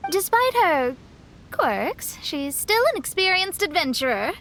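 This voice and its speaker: A woman voicing a calm, caring young woman adventurer in a video game, reasoning things through. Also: high-pitched, unhurried, a little hiss or hum, heavily acted. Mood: earnest.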